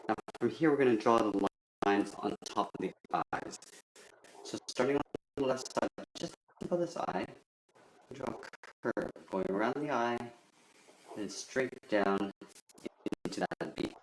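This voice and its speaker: A man's voice: medium pitch, animated, a little slow, pauses often. A man giving a step-by-step drawing tutorial in simple, methodical instructions. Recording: roomy echo with faint background noise.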